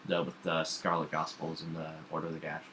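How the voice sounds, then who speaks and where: A man's voice: rumbling low, completely flat delivery, brisk, bright, forceful tone, slight background noise. A young man co-hosting a casual chat vlog in a home kitchen, talking in hesitant, stop-start phrases. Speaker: vlog co-host. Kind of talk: casual chat about comics and horror films.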